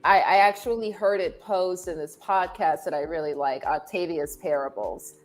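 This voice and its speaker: A woman's voice: on the low side, normal speed, faint music behind, low-quality recording. A woman speaking as a podcast guest in a reflective discussion, thoughtful and often repeating words as she works out her point.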